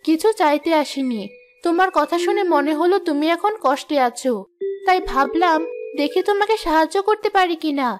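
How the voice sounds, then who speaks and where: A woman plays a helpful cartoon fairy with a high voice. She explains things gently and solemnly over clearly audible music. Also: animated, normal speed. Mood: kind. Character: kindly female knowledge fairy, helpful and solemn. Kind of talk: cartoon voice acting.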